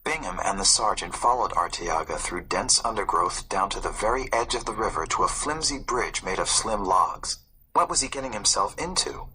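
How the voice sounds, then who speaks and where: Man, very husky, forceful edge, dramatic storybook narration, suspenseful. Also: on the low side, poor audio. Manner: suspenseful, expressive delivery. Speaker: audiobook narrator.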